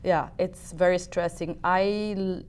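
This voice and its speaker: A woman's voice: on the low side, fairly even intonation, slow, a little mellow, smooth. A woman with a Uruguayan Spanish accent, a native Spanish speaker, answering interview questions with many restarts and fillers. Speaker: opera singer, guest.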